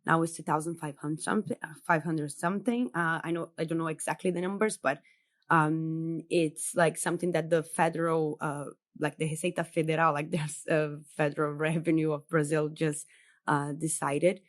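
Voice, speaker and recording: A woman who is a native Portuguese speaker, joining a podcast remotely as a journalist guest. Her voice sits low, and she talks in a chatty, loose way with many fillers. She often laughs lightly between points. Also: steady tone, normal speed. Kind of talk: casual podcast interview. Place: home in Brazil, remote call.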